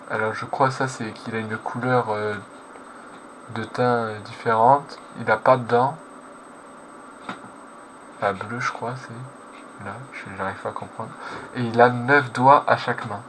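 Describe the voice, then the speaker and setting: Guy doing casual reaction commentary, lowish voice, slangy and hesitant, lots of background noise. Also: fairly bright tone. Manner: slangy, hesitant, self-correcting. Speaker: reaction video host. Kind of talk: casual live reaction commentary, translating on the fly. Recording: clearly noisy background.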